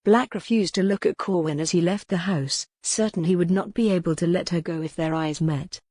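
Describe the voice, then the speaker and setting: Low-voiced woman narrating a novel. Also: brisk, darkish tone, husky, no echo, clean studio sound. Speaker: audiobook narrator. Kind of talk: scripted dramatic narration of a historical novel.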